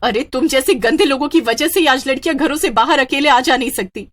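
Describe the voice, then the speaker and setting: A woman's voice: sits high, brisk, pitched above the performer's own voice, close to an everyday voice. A woman voicing a frightened, indignant young woman passenger in a horror story, scolding and urgent.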